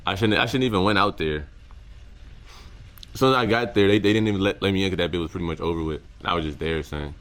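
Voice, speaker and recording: A young man with a very low, deep voice talks to his camera about what he has learned. His speech is casual and slangy, full of halting restarts and repeated words. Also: fairly bright tone. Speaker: content creator. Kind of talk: reflective casual vlog monologue.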